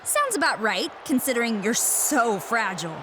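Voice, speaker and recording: A woman's voice: fairly high pitch, sing-song, normal speed. A cocky lady wrestling champ, sneering and sarcastic, swoops around a lot, some noise behind. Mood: mocking. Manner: smug, cutting, sarcastic. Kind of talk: scripted sports-entertainment promo.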